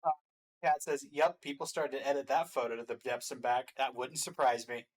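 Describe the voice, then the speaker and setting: A man's voice: mid-range voice, fairly quick. A man co-hosting a reaction stream in casual commentary, with a dry, teasing way of talking.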